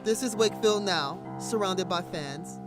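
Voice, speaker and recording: A male reporter delivers scripted news narration in a measured, packaged-story format. Music plays clearly behind the voice. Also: high-pitched.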